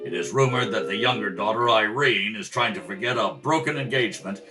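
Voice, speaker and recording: A man's voice: fairly low pitch, a little fast, far from the mic, music in the background, close to an everyday voice. A man playing a dry, exasperated wealthy father in an old-time radio comedy. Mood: reading aloud. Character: weary, exasperated wealthy father of two grown daughters.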